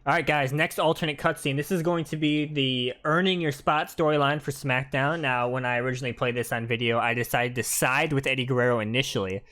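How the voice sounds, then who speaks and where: A man's voice: mid-range voice, steady tone, brisk, few pauses, a bit bright. A man hosting a gaming video with casual, chatty commentary. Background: faint music.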